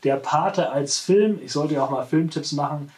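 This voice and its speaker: A man in his forties talks to the camera as the host of a casual shop show. He rambles conversationally and corrects himself as he goes. His voice is picked up with clear room echo, indoors at a short distance from the mic. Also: on the high side, moderate pace, darkish tone. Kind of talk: casual product presentation to camera. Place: small, cluttered indoor room, seated at a desk.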